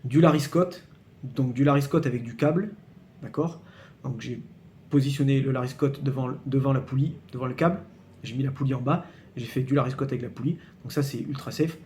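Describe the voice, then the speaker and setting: A man's voice: mid-range voice, a little fast, darkish tone, a little hiss or hum. Hesitant man nearing fifty, chatty. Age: 40s. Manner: frequent hesitations and repeated words, checks in with "d'accord?"; emphatic, cautionary tone when warning viewers. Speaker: fitness channel host. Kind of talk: casual explanatory vlog to camera.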